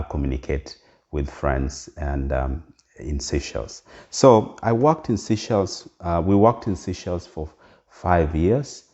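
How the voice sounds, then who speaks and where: A man's voice: rumbling low, a little mellow. A middle-aged Kenyan man with a Kenyan accent talking earnestly to his viewers, full of ums and restarts. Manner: earnest, lots of fillers and restarts. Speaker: vlogger. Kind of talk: casual direct-to-camera talk. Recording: dull lo-fi sound.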